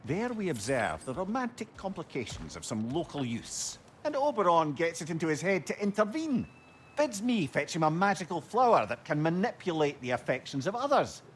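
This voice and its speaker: A man's voice: fairly high pitch, very animated, normal speed, a little hiss or hum. A man playing a genial, witty old former trickster in a video game, with a Scottish accent and a wry, talkative, old-fashioned way of speaking. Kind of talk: video game dialogue, storytelling banter.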